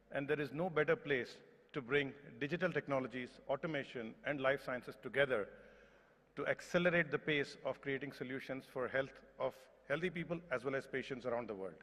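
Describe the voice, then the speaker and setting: A middle-pitched man with an Indian accent gives a formal speech as a company executive. He speaks into a podium microphone, and the large conference hall adds long reverb. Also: a bit bright. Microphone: podium gooseneck microphone. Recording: reverberant hall public address.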